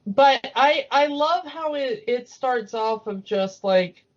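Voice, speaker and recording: A woman in a casual, discursive fan chat about cartoons, talking in an animated, opinionated way. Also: high-pitched, slow, muffled recording. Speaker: podcast co-host.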